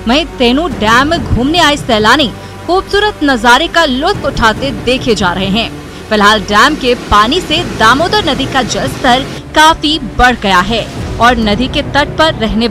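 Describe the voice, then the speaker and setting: A woman's voice: sits high, animated, measured pace. A woman narrating scripted news reports as a broadcast voice-over. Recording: news music behind. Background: background music.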